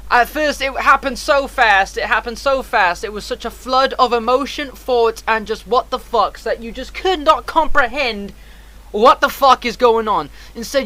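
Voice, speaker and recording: A man's voice: very high-pitched, sharp tone. A man casually telling a personal story as a streamer, in an emphatic, slangy, heavily profane way.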